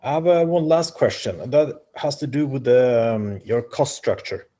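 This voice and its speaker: A middle-aged man asking measured questions on a video call. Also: medium pitch, dark tone.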